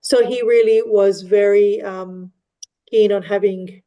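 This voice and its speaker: Hesitant woman with a mellow, even voice. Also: medium pitch, slow. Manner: hesitant, many fillers and restarts. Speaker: mosque community member. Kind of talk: casual discussion. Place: online meeting.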